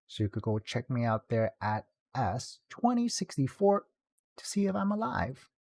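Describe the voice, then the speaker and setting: Male tutorial host, mellow tone with animated pitch, casual and jokey streamer delivery. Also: dry recording. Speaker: video guide host, game streamer. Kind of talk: casual screen-recorded tutorial narration.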